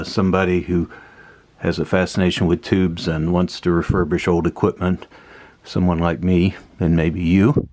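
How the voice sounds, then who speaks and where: A man giving a casual hands-on explanation as a hobbyist host, pausing mid-phrase as he thinks aloud. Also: sits low. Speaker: host of a vintage electronics repair channel.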